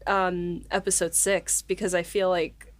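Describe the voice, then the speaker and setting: Low-voiced woman in casual, halting chat. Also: little pitch movement, no echo. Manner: halting, informal, peppered with "like". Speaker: writer and co-host of a behind-the-scenes podcast.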